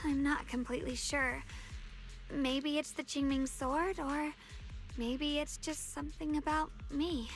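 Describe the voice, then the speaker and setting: A woman voicing a gentle, earnest young swordswoman in a video game, hesitant, soft-spoken and polite. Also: high-pitched, steady tone, measured pace, faint music behind. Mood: apologetic, hesitant. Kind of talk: dramatic video game voice acting.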